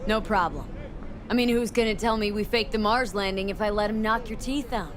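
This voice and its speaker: An actress playing a tough, streetwise mercenary in a video game, casual, blunt and wryly sceptical. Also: female voice, medium pitch, fairly quick, crowd noise, background noise, close to an everyday voice.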